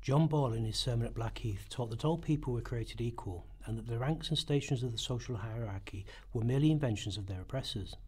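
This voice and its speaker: A man narrates history from a script for a radio play. He reads quickly, in a mid-pitched voice with a rough, husky edge. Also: a little mellow.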